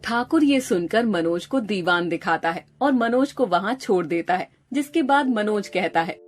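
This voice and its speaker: A woman's voice: mid-range voice, expressive, normal speed. A woman narrating a scripted story as a storyteller. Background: music behind the voice.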